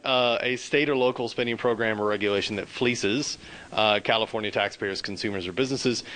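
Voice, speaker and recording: A man's voice: medium pitch, fairly bright tone, rough recording. A man moderating a conference, reading out a speaker's credits with frequent hesitations. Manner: reads a list of credits with frequent uhs. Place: conference hall.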